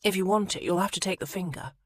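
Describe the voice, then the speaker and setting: A woman's voice: medium pitch, quick pace, a bit bright, no room sound, studio quality, voice pushed up, natural voice. A woman voicing a stern, commanding young aristocrat in an audiobook, speaking in firm, clipped commands.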